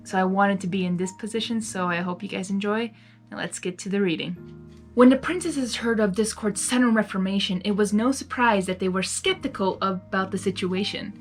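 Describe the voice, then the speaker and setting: A woman hosting and narrating a live story reading in an expressive, giggly manner, reacting aloud as she goes. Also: mid-range voice, a little fast, faint music behind. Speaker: fan fiction reader and host.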